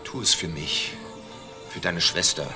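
A man's voice: low-pitched, measured pace, music in the background. A man playing a tormented, pleading murderer who confesses with anguished repetition in a crime drama. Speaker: actor playing a confessing culprit.

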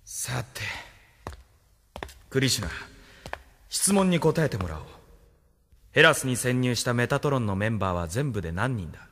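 Casting: smug military interrogator, mocking and seductive, highly expressive intonation, radio-play style, echoing hall. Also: male voice, normal speed, pauses often, a little hiss or hum. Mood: smug, probing. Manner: mocking, smooth, chuckling condescension. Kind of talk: Japanese audio drama acting. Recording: long reverberant hall effect.

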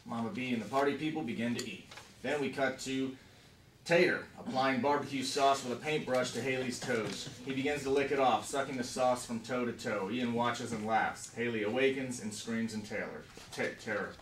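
A man's voice: mid-range voice, normal speed, a bit bright. A man reading stage directions as scripted narration at a table read. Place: conference room around a long table. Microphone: camera mic across the room. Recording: echoey room, distant mic, faint noise.

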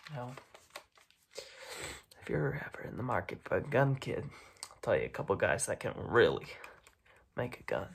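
A young man voicing a smug, menacing mob boss in a soft-spoken, half-whispered ASMR roleplay full of gangster slang. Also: fairly low pitch, very animated, moderate pace, creaky, pauses often, slightly rough, full character voice. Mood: persuasive. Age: young adult. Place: small dark room indoors.

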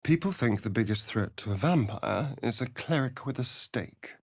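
An actor with a British accent playing a sardonic, vain elven vampire rogue in a fantasy video game, with an arch, drawling, mocking delivery. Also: male voice, mid-range voice, animated, moderate pace, no echo, clean studio sound. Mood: serious, wounded.

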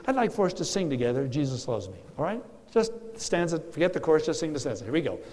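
A man's voice: on the high side, big pitch swings, fast, a little mellow. An older man teaching a congregation about church music from a lectern, earnest and emphatic with dry humor. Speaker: guest speaker on church music. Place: large church sanctuary. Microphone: lectern mic. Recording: long church hall reverb.

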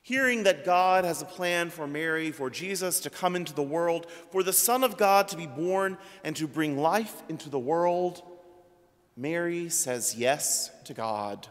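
Priest in his 30s, fairly high voice, slow deliberate sermon delivery, long church reverb. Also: lively intonation. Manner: slow, measured preaching with trailing drops in pitch. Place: church sanctuary at a pulpit. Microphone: pulpit microphone.

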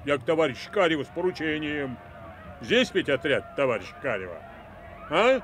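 A brusque common man on an errand in a radio play. He is insistent and colloquial, gruff in manner, over faint outdoor noise. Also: fairly high pitch, very animated, brisk, a bit bright. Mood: pushy, impatient. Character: brusque, stubborn common man, messenger in civil war. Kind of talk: Soviet radio drama acting.

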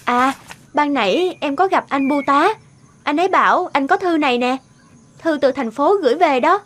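A woman playing a devoted, gentle young country wife in a cartoon, speaking in a soft, caring way. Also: high voice, lively intonation, normal speed. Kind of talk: animated moral tale dubbing.

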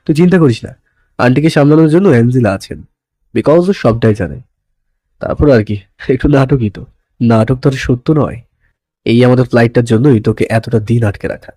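Man acting intense young lover, soft mellow voice. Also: mid-range voice, expressive, fairly quick, no echo, natural voice. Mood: smug, teasing. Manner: dramatic, pleading, mixes in English words. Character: intense, possessive young man pleading his secret love. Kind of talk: Bengali romantic audio story acting.

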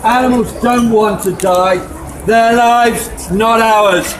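A man's voice: high voice, steady tone, slow. A man giving an animal rights protest speech and chanting slogans in an emphatic, accusatory way. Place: shop doorway at a street protest. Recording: distant, echoey, very noisy street recording.

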